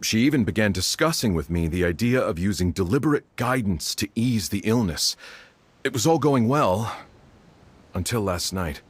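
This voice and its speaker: Low-voiced, grave family doctor. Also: male voice, lively intonation, breathy edge, no room sound. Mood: solemn, regretful. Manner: formal, measured, solemn. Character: grave, measured male household doctor bearing sad news. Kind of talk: video game voice acting.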